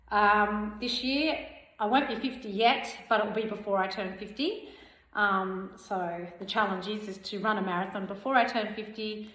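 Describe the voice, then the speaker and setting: A woman in her forties vlogging casually about running, chatty and unhurried with frequent ums. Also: on the high side, a bit bright. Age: 40s. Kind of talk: casual vlog to camera. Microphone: camera mic without a clip-on mic. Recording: roomy echoey recording.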